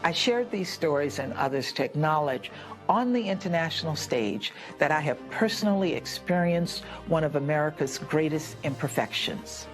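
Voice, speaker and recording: A woman's voice: low-pitched, expressive, normal speed, faint music behind. A woman from the southern United States giving a prepared, formal speech.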